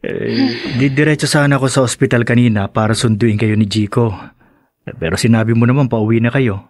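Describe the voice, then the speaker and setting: An actor plays an evasive young husband in a Filipino radio drama. His voice is breathy and dry, of medium pitch. He sounds apologetic and hesitant, always trying to smooth things over. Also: steady tone, brisk, dry recording, lightly acted. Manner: hesitant, placating.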